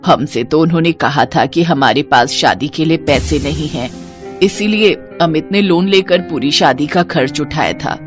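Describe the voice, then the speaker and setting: A woman playing an older, caring mother-in-law in a cartoon family drama, advising in a measured manner. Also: deep voice, soft background music, natural voice. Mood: troubled, suspicious.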